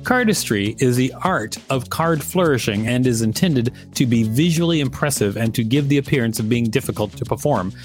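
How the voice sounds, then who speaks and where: A man's voice: mid-range voice, lively intonation, brisk, few pauses. A man with a mid-range voice co-hosts a podcast. He moves between plainly read article text and casual banter, with faint music behind him. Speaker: podcast co-host. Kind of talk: reading an encyclopedia article aloud with casual banter.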